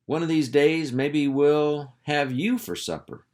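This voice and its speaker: Man as a menacing buzzard, pitched up. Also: fairly even intonation, takes it slowly, darkish tone. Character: menacing grown male buzzard, sly and hungry. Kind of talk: storybook character voice.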